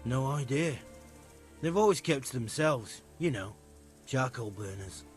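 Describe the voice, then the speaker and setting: An actor playing a hesitant, loyal, earthy medieval stable worker in a video game, folksy and earnest. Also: male voice, mid-range voice, animated, a little slow, soft background music, natural voice. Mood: helpful. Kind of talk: conversational video game cutscene acting.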